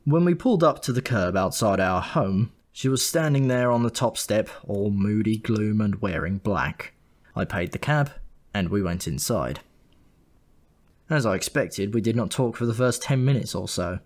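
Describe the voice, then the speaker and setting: A man's voice: on the low side, lively intonation, moderate pace, a little mellow. A man reading a comic first-person story as an audiobook narrator, in a wry, dry manner.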